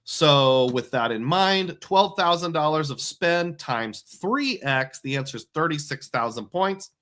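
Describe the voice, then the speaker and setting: Man, stream host, somewhat high voice, step-by-step math explainer, thinks out loud. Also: lively intonation, a little slow. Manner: explains step by step, working problems aloud. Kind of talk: livestream walking through points math.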